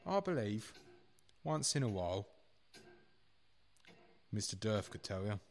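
A man playing a polite, deferential manservant in a radio play, measured and discreet. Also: fairly low pitch, sing-song, a little hiss or hum. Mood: guarded, courteous.

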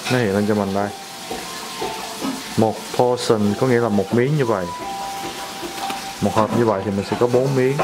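A young man hosting a casual cooking vlog, explaining step by step in a relaxed, chatty way in his home kitchen. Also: on the low side, normal speed, pauses often, low-quality recording. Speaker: vlog host.

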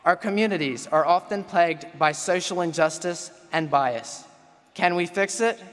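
A man's voice: sits high, normal speed, a bit bright. A young man from Pennsylvania, class president, giving a rousing formal commencement speech into a podium microphone on a large auditorium stage. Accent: Pennsylvania American. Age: young adult. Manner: rousing, builds to call-and-response refrains. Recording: long hall reverb.

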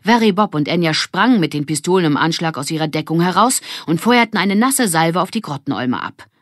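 A woman reading a children's audiobook as an expressive scripted narrator. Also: on the low side, brisk, no room sound, studio quality.

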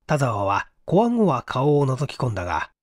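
A man's voice: mid-range voice, wildly swooping pitch, moderate pace, dry recording, clean studio sound. A man reading a mystery story as dramatic, suspenseful, theatrical audiobook narration. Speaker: audiobook reader.

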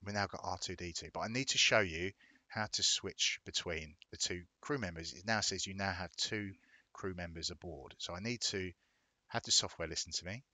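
Deep-voiced man giving a software tutorial. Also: moderate pace, dry recording. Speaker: tutorial presenter. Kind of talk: casual step-by-step software tutorial narration. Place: indoors, voice-over of a screen recording.

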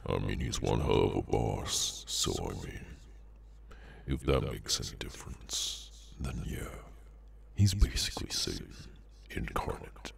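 A man voicing a weary, menacing demonic henchman in an ASMR audio roleplay, sardonic and low-key, with a gravelly voice. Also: slight background noise, exaggerated voice. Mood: sinister, sardonic. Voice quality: very deep, gravelly, creaky. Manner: slow, low-key, casually sinister, sardonic.

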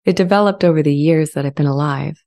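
A woman performs a sentient artificial intelligence in a dramatized dialogue. The voice is low and soft-edged, with a mellow tone. It speaks serenely and reflectively, in measured, gentle phrases. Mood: serene, thoughtful. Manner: measured, calm, reflective delivery. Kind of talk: dramatized transcript reading. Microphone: large studio condenser mic up close.

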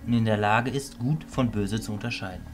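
A man playing an earnest wizard teacher in an amateur fantasy audio drama, speaking in a measured, sincere way. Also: lowish voice, over music, close to an everyday voice. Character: earnest wizard teacher, loyal to his pupil.

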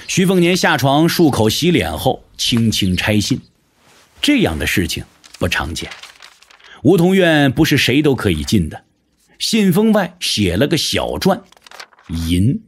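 Highly animated male storyteller. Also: measured pace, slightly rough. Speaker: narrator. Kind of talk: dramatic scripted audiobook narration.

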